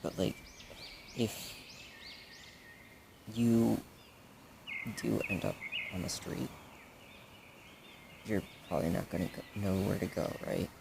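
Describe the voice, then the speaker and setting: A man's voice: deep voice, takes it slowly, gravelly, pauses often, heavily acted. A young man playing a supportive best friend in an audio roleplay, talking casually and hesitantly in rambling, trailing phrases, on a noisy recording. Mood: concerned, supportive. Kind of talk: audio roleplay addressed to the listener, casual improvised chat.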